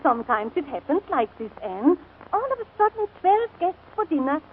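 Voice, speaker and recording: Outspoken servant woman, high voice, tart yet kindly, old radio comedy, narrow crackly sound. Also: big pitch swings, normal speed. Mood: reassuring, tart. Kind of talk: 1940s radio comedy acting. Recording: scratchy, narrow-band 1940s radio broadcast.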